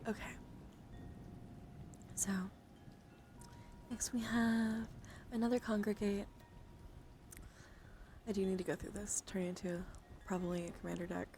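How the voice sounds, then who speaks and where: A woman hosting an ASMR livestream, talking softly and casually and often slipping into a whisper. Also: mid-range voice, flat delivery, unhurried, long pauses. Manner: soft-spoken, often whispering, repeats soothing words. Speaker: livestream host. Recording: clearly noisy home microphone.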